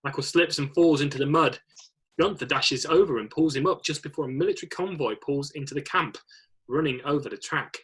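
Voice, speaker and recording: Bright, husky male screenplay narrator. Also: fairly high pitch, a little fast. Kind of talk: scripted reading of screenplay stage directions.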